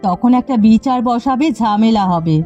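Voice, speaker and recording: A mellow, dark-toned eldest sister from a village cartoon voiced by a woman. She is upright and stern, speaking like a weary elder who admonishes her siblings. Light music plays underneath. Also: a little high, expressive, normal speed, lo-fi sound, lightly acted. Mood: stern, questioning. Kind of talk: cartoon dialogue. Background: faint music.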